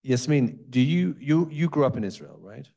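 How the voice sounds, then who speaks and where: A man's voice: mid-range voice, very animated, normal speed, gravelly, warm tone. A man moderating a panel discussion, asking loose, rambling questions with asides.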